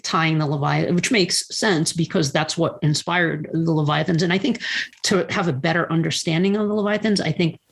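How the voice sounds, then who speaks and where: A woman hosting a podcast, chatting casually and supportively like a keen fan, sometimes halting as she searches for words. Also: sits low, fairly even intonation, creaky, a little hiss or hum. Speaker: podcast host. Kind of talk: casual enthusiastic fan chat.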